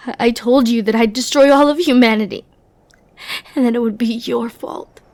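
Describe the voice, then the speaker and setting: A woman playing an ancient, flirty and dangerous banished villainess in an intimate ASMR roleplay, breathy and often near a whisper, with tender, brooding, dramatic pauses. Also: a little high, a little mellow, no room sound, voice pushed down, natural voice. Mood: hurt, regretful.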